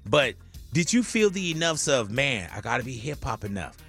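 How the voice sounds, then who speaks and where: A man hosting a radio show, bantering loudly and playfully with slang. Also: mid-range voice, very animated, moderate pace, soft background music. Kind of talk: casual radio interview.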